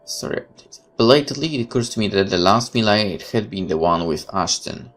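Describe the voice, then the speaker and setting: A man's voice: on the low side, animated, fairly quick, a bit bright. A man reading a horror visual novel aloud as a let's player, with casual commentary of his own.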